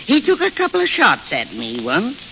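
A man's voice: high-pitched, huge pitch swings, normal speed, creaky, sharp tone, full character voice. An actor playing a boastful, folksy old American grandfather who spins tall tales in a rustic radio drama style. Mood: gleeful, boastful. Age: older. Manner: folksy, rustic, chuckling storyteller. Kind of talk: 1930s-40s radio drama acting. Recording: muffled, hissy vintage radio recording.

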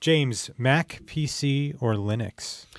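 A man's voice: mid-range voice, slow pace, no room sound. A man hosting a podcast quiz in casual, playful banter with a jokey manner. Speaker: podcast host running a quiz. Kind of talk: casual comedic banter with guests.

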